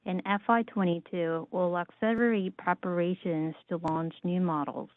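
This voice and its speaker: Phone-like band, dry sound, fairly low female voice, formal scripted presentation, orderly measured reading. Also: fairly even intonation, no echo. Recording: narrow, dry phone-like line.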